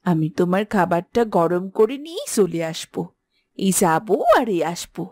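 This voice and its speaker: A woman's voice: on the low side, animated, moderate pace, a little mellow, no room sound. A woman voicing a poor fisherman's devoted wife and mother in a fairy-tale cartoon, emotional and theatrical. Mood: gentle, reassuring.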